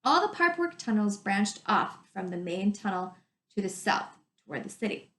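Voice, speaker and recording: A woman teacher reading a story to children in an animated, emphatic way, adding her own commentary. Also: medium pitch, normal speed. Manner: animated, emphatic, stretches descriptive words. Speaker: teacher reading aloud to pupils. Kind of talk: expressive storybook read-aloud with commentary to children. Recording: echoey room sound.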